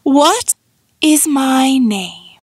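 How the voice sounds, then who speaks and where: A woman's voice: sits high, extremely slow, dry recording, clean studio sound, full character voice. A woman playing an ancient, all-knowing sphinx in a fantasy audiobook, speaking in a dreamy, formal drone with cool menace. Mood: imperious. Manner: dreamy, droning monotone, formal and cryptic.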